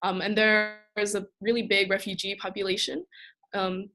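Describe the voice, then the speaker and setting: Young woman student presenter, easygoing mid-pitched voice, loose chatty delivery. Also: level tone, moderate pace, bright, forceful tone. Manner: chatty, many ums and likes. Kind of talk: casual panel talk.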